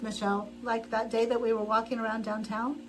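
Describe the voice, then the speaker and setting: A woman's voice: a little high, level tone, a little fast. Chatty woman host over a noisy stream. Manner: chatty, rambling, frequent laughs and restarts. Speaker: livestream host. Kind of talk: casual chat while sewing.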